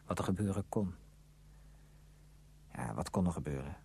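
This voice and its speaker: An actor playing a lovelorn, brooding man narrating his memories in a radio drama, measured and literary. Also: sits low, dark tone, breathy edge, exaggerated voice.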